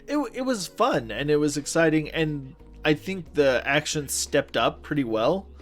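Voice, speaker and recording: Enthusiastic higher-voiced young man. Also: sing-song, measured pace, fairly bright tone, soft background music. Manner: enthusiastic, peppered with "like". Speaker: podcast co-host. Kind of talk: casual chat.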